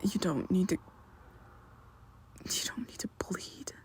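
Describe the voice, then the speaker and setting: A woman voicing a secretive, protective young male vampire best friend in an ASMR roleplay, talking haltingly and often dropping to a whisper. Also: on the low side, lively intonation, a little mellow. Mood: pleading.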